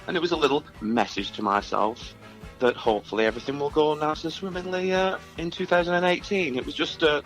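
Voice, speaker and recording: A man's voice: fairly high pitch, a little fast, fairly bright tone, faint music behind, poor audio. A man chatting casually as a musician guest in an interview, talking in a hesitant, rambling, understated way. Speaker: musician guest discussing his own album.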